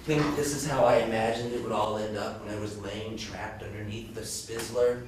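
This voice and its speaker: A man's voice: fairly low pitch, steady tone, normal speed, close to an everyday voice. A man playing a defensive, lovelorn ghost who died young, in a theatrical drama, speaking casually and with sarcasm. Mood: bitter, wistful. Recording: distant, very echoey room, faint noise.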